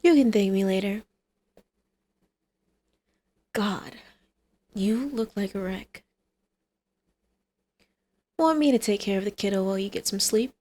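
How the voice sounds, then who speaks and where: A woman's voice: mid-range voice, moderate pace, long pauses, darkish tone, close to an everyday voice. Woman acting a smug, teasing female villain. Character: brazen female supervillain, teasing, combative, scheming mother. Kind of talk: ASMR roleplay acting.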